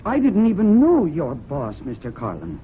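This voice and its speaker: A tinny, slightly noisy 1970s radio drama recording carries a young woman hiding guilt. Her delivery swings widely in pitch, guarded and defensive, with anxious stammers. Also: huge pitch swings, moderate pace. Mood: defensive, afraid. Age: young adult. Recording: tinny band-limited old radio recording, light noise.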